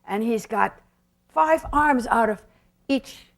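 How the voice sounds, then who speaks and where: A woman's voice: fairly high pitch, expressive, takes it slowly, vocal fry. A woman presenting puppetry in an explanatory storytelling talk, theatrical and emphatic, with rhetorical questions.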